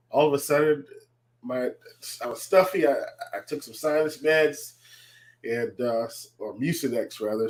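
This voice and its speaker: A man's voice: mid-range voice, a little mellow. Stuffy-nosed man, congested. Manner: halting, many restarts and fillers. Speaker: fantasy sports analyst. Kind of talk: casual analysis chat.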